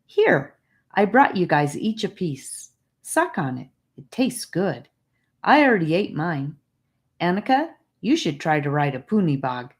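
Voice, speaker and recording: A woman voicing a boastful, teasing young boy in an audiobook, speaking in an animated way. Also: lowish voice, unhurried. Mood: teasing, eager. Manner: animated, bragging. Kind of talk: audiobook character voice.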